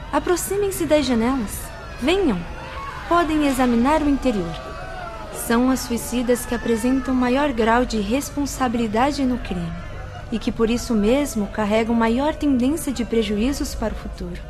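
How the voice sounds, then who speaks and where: A woman playing a spirit nun who guides visitors, speaking in a grave, instructive, measured way in a radio drama. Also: fairly high pitch, natural voice. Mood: solemn. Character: solemn nun spirit, stern female guide. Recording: music bed under voice.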